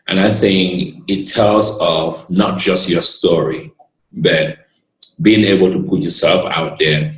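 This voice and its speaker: A man with a very low voice speaks as an interview guest. He talks earnestly and at length, pausing now and then to find his words, and his voice carries around a roomy, echoing space. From Cameroon. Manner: expansive and earnest, pauses to gather his words. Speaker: guest, lawyer. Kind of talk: reflective storytelling in conversation.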